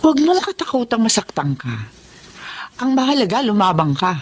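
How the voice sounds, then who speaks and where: A woman's voice: on the high side, sing-song, normal speed, slight background noise. An actress with a Filipino accent playing a caring middle-aged mother in a radio drama, earnest, firm and encouraging.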